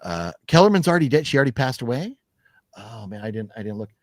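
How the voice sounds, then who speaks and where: A man in his fifties hosts a loose livestream chat, reading out viewer comments as he goes. He rambles, swears freely and laughs often, with an average-pitched voice. The sound is dry and close, with no room echo. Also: sing-song, fast talker. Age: 50s. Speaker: podcast host.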